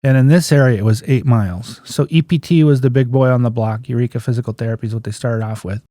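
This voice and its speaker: Male, 50s, interview guest. Mid-pitched, mellow delivery, anecdotal and plain-spoken, casual storyteller. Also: fast talker, no echo, studio quality. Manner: anecdotal, acts out remembered dialogue, folksy plain-spoken phrasing. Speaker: guest, physical therapist and clinic owner.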